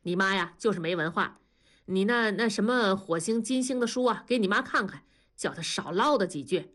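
A stubborn, teasing older husband talking with mock seriousness, as a woman reader voices him. The voice swings with lively pitch. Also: normal speed. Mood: mock-serious.

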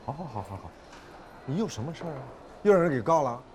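An actor playing a harried court officer in a screen drama, placating and evasive, with dry exasperation and repeated words. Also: male voice, a little high, sing-song, fast, a little mellow. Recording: noticeable background noise and ambience.

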